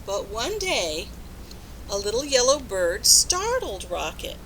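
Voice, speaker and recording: A woman reading a picture book aloud to young children, expressive and instructive, pausing to put questions to her listeners. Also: medium pitch, background noise. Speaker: storybook reader.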